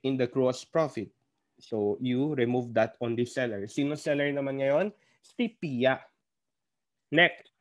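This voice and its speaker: A man with a Filipino accent lecturing as an instructor, working step by step and answering his own questions. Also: mid-range voice. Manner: didactic, asks questions and answers them himself. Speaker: accounting instructor. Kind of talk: classroom lecture, step-by-step problem walkthrough.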